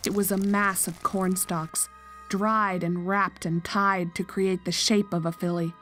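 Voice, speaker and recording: A woman's voice: medium pitch, flat delivery, measured pace, fairly bright tone, soft background music. A woman narrator reading a scripted horror story.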